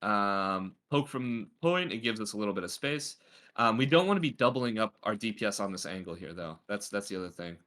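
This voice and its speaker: A man's voice: on the low side, animated, a little fast, a bit bright. A man coaching a player through a game replay in a casual, chatty way, with a slightly stuffy nose. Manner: chatty, lots of filler words. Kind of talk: casual gameplay review.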